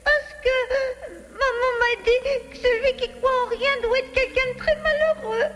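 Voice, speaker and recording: A woman voicing a tender-hearted, earnest little girl in a French animated cartoon. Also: very high voice, moderate pace, faint music behind. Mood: sad. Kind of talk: French animated series dubbing.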